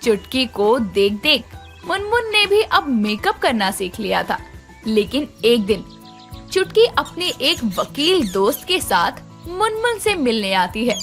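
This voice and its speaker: Female narrator for a children's tale, high and lively delivery. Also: a little slow, faint music behind. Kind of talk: scripted children's story narration.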